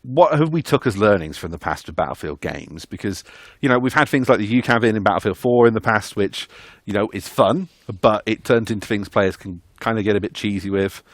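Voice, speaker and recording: A man's voice: fairly low pitch, a little fast, few pauses, dry recording, studio quality. A man hosting a casual developer discussion, asking long conversational questions with enthusiastic phrasing. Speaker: host, interviewer.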